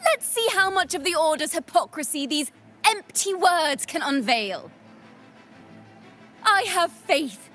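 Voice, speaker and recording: A woman's voice: very high-pitched, lively intonation, bright, forceful tone, full character voice. A woman voicing a young female bard in a video game, defiant and playful, telling her tales in a theatrical, poetic manner. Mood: playful, confident. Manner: theatrical, measured, poetic delivery. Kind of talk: dramatic storytelling in game voice acting. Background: music.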